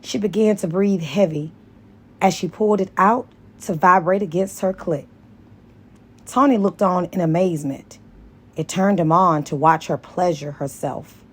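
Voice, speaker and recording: A woman narrates an audiobook in a slightly low voice. She reads scripted fiction at a very unhurried pace. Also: slightly rough. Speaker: audiobook reader.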